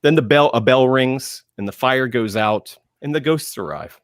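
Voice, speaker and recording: A man speaking as a panelist in enthusiastic film talk, emphatic, with dramatic pauses and phrases repeated for effect. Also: medium pitch, dry recording, studio quality.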